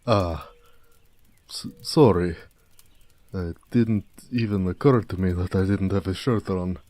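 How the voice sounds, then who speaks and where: A big, proud orc blacksmith played by a man speaks in a deep, mellow voice, close and dry. He is gentle and courteous, with an affectionate, slightly formal way of talking suited to an intimate fantasy audio drama. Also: dry recording. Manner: unhurried, soft-spoken, courteous, slightly formal. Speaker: voice actor.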